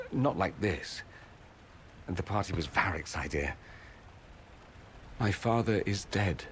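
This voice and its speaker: A man's voice: fairly low pitch, slight background noise. An actor playing a witty, flamboyant mage nobleman in a video game cutscene, speaking in a theatrical, eloquent, wry way. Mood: grave, subdued.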